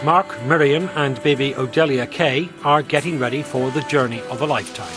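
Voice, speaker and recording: A man's voice: medium pitch, brisk. Male presenter narrating over music. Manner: smooth, even newsreader delivery. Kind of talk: scripted news narration.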